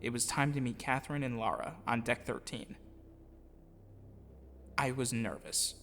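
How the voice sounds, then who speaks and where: A man's voice: medium pitch, measured pace, gravelly, fairly bright tone, a little hiss or hum. A man telling a personal story as scripted first-person narration, in an earnest, reflective way. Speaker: audio drama producer and voice actor. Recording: music playing behind the voice. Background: music.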